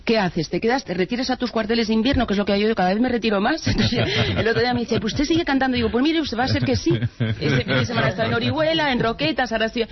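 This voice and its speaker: A woman from Spain with a Spanish accent, a singer speaking as a guest in a radio interview, chatty and animated, laughing often. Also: fairly high pitch, racing, a crowd in the background. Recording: muffled radio sound with music.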